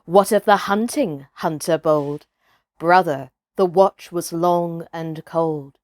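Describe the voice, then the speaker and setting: A woman reading a children's story aloud as a storyteller, with a deliberate, dramatic delivery. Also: lowish voice, no room sound, studio quality. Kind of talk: expressive children's audiobook narration.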